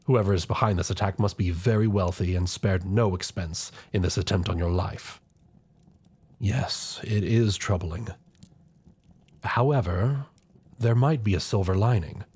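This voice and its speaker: A man acts out an older chancellor of a fantasy royal court in an audio roleplay. His voice is low and somewhat rough-edged. He speaks with formal, deliberate courtesy, grave and loyal to the crown, with a scheming streak. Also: darkish tone. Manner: formal, deferential, measured courtly speech.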